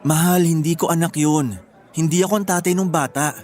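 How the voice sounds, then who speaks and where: A man's voice: a little high, fairly even intonation, fairly quick, darkish tone, lightly acted. A man playing a devoted, earnest young groom with a Filipino accent in a radio drama. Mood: reassuring. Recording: music bed behind the voice.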